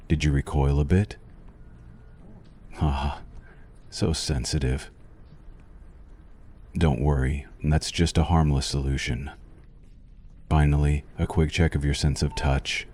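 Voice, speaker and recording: A man playing a suave, possessive vampire doctor in an ASMR roleplay, speaking formally, with teasing and quiet menace. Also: rumbling low, steady tone, slow pace, pauses often. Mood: clinical, teasing. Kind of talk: ASMR roleplay, scripted monologue to the listener.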